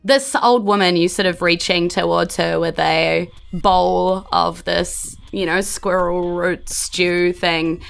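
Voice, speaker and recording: A woman's voice: lowish voice, fairly even intonation, slow, gravelly, few pauses. A woman, narrating a tabletop game off the cuff as game master. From New Zealand.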